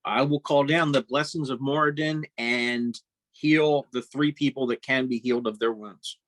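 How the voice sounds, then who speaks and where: A man's voice: medium pitch, steady tone, normal speed, fairly bright tone, dry recording, low-quality recording. A man talking casually at the table as a player in a role-playing game.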